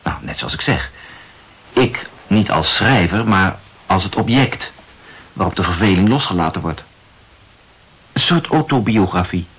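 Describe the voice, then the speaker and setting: A pensive novelist in a radio drama. His husky voice moves freely up and down as he muses aloud. He explains himself earnestly and insistently, like someone working out a theory. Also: low-pitched, animated, a little slow, long pauses, fairly bright tone, exaggerated voice, rough recording. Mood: musing, insistent. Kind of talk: Dutch radio play acting.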